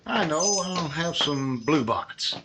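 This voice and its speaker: An actor playing a folksy, teasing police detective partner in an easygoing 1940s radio drama style. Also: male voice, fairly high pitch, animated, measured pace, heavy background noise.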